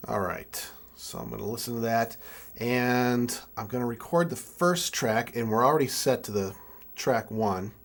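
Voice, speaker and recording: A man in his twenties giving a casual hands-on tutorial, thinking aloud in a halting way as he works. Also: mid-range voice, creaky. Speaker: music keyboard tutorial presenter.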